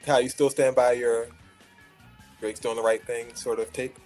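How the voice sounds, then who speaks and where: A man's voice: mid-range voice, level tone, normal speed, a little mellow, faint music behind. A man hosting an opinionated panel debate, blunt and needling in the way he talks. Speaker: host of a hip-hop debate show.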